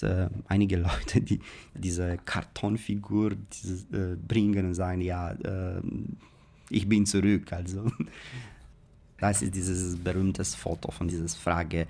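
A man's voice: low voice, flat delivery, slow pace, gravelly, darkish tone, slight background noise. A man from Romania with a Romanian accent, explaining things as an academic in an interview, speaking a second language.

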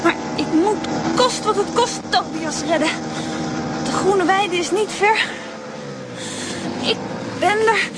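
A woman's voice: very high voice, slow pace, pauses often, heavy background noise. A woman playing a caring, spirited young girl in a children's radio play who talks in an earnest, emotional way. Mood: worried.

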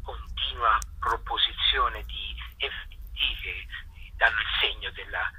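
Husky, low-voiced man being interviewed. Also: measured pace, pushed and bright, low-quality recording. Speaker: spokesman of a jazz festival association being interviewed. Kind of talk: informal promotional interview, explaining a project.

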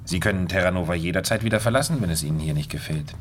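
An actor playing a smooth, controlling coach and psychologist in a German radio drama, speaking in measured, deliberate phrases with weighty pauses. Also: male voice, low-pitched, lively intonation, slight background noise. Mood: smoothly probing. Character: smooth, controlling male studio coach and psychologist, insinuating mentor.

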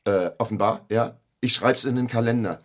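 A man's voice: medium pitch, moderate pace, lightly acted. An actor playing an evasive young boyfriend in a radio drama, hesitant and needling as he hides a secret. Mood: flippant, uneasy. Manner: hesitant, flippant. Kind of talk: naturalistic radio drama dialogue.

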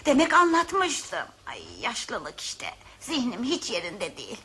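A woman's voice: a little high, wildly swooping pitch, slow, pushed and bright, a little hiss or hum, heavily acted. An actress playing a forgetful, chatty elderly mother devoted to her children in a radio drama. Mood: wistful, rambling. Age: older. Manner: rambling, forgetful.

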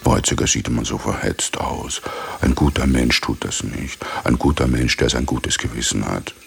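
Very deep, pompous army captain. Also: male voice, fairly even intonation, gravelly, faint music behind, exaggerated voice. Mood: condescending, musing. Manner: pompous, halting, repeats himself. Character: pompous, befuddled, moralizing army captain lecturing his subordinate. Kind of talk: theatrical radio drama acting.

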